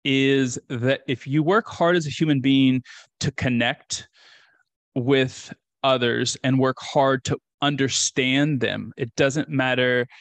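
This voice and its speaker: A man's voice: medium pitch, fairly even intonation, takes it slowly, no room sound. A man in his thirties from rural Kansas, a guest telling his story on a video call in an earnest, reflective way. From rural Kansas, USA. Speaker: interview guest. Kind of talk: casual interview storytelling. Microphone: video call with desk mic.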